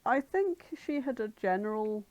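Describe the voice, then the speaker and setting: Female co-host, mid-pitched, informal and conversational, recorded dry. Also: animated, normal speed, a little mellow, dry recording, muffled recording. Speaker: podcast co-host. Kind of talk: casual chat.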